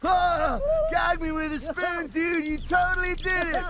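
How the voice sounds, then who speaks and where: A man voicing a laid-back but excitable surfer dude with slangy talk in a cartoon game. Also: very high voice, heavy background noise. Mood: mellow, excited. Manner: slangy, drawn-out stoner talk. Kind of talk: cartoon game fan dub acting. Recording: music clearly behind.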